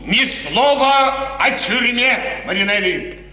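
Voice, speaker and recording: Suave, imperious prince for vintage radio tragedy: high, animated voice, condescending and commanding, echoing old recording. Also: male voice, slow pace, exaggerated voice. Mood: soothing, commanding. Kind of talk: 1950s radio theatre acting.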